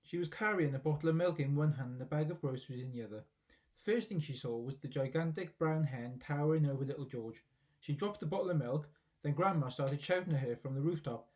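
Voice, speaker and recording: A man's voice: on the high side, a little mellow. A man narrating a children's story expressively, as a reader reading aloud from a book.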